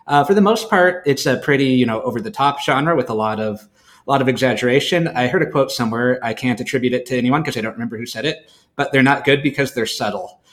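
A man with a mid-pitched voice chats casually as a co-host. His delivery is conversational and thoughtful, wandering from one phrase to the next. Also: fast talker, fairly bright tone. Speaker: podcast co-host. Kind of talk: casual chat.

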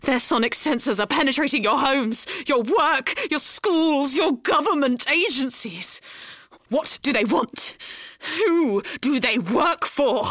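A woman's voice: high voice, animated, takes it slowly, fairly bright tone, dry recording, studio quality, exaggerated voice. A woman playing a paranoid conspiracy theorist who delivers dramatic, preachy warnings in a mock monologue. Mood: ominous, insistent. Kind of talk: mock conspiracy monologue in a comic sponsor spot.

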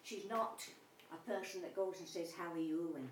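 A woman in a group discussion, speaking in an animated, indignant way and acting out quoted speech. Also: lowish voice, moderate pace. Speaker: audience member. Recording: distant and roomy, faint background noise.

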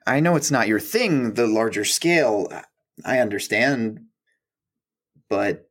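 Guy acting as shy awkward mapmaker man in an audio play, slow halting stammery talk. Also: lowish voice, animated, a bit bright, heavily acted. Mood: gentle, tentative. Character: awkward, hesitant male mapmaker leaving his job.

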